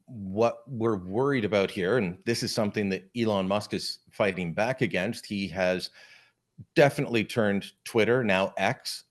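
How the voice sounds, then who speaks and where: A man hosting an interview who prods his guest and jokes at his own expense. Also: on the low side. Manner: joking, self-deprecating, prodding. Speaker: interview host. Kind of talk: interview conversation.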